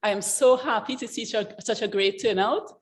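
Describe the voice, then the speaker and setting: A woman hosts an online group gathering and gives her guest a glowing, heartfelt introduction over a video call. Her voice sits at a middle pitch. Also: brisk, slight background noise. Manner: warm and effusive in her praise. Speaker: host and founder of the group.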